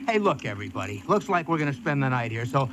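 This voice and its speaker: Man acting an excitable, eager guy, swooping pitch. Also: fast talker, close to an everyday voice. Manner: stammering, boyish enthusiasm. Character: eager, excitable man rallying a stranded group. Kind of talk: 1980s radio drama acting. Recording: dull, noisy old radio recording.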